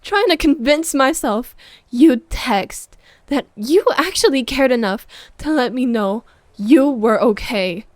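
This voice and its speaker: A woman's voice: high-pitched, lively intonation, slow, darkish tone, no room sound. A woman playing a jealous, needy, wounded girlfriend in an ASMR roleplay audio drama, sighing and often dropping toward a whisper. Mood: agitated, accusing.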